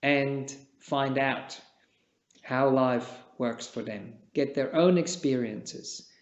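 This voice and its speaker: A man from Switzerland, a native Czech speaker, giving a gentle, halting dharma talk as a Buddhist meditation teacher, with long pauses. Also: mid-range voice. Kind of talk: unscripted dharma talk at a retreat. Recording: echoey room, dull lo-fi sound.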